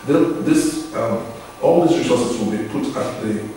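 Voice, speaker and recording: A man's voice: mid-range voice, unhurried, dark tone. A man who works as a health journalism fellow, speaking earnestly with many fillers and restarts in an interview. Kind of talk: recorded interview statement. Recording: echoey room, distant mic, faint noise.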